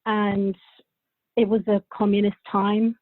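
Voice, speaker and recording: A woman's voice: mid-range voice, level tone, slow pace, dry recording, phone-quality sound. A thirty-year-old woman from Bulgaria who moved to England as a child, chatting casually as a podcast guest. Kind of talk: casual interview chat.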